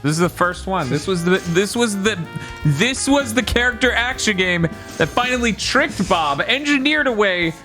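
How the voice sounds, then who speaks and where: A man's voice: sits high, moderate pace, soft background music. Joking American-raised male streamer. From United States. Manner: animated, joking, swears and laughs often. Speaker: streamer host. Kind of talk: casual chat over gameplay.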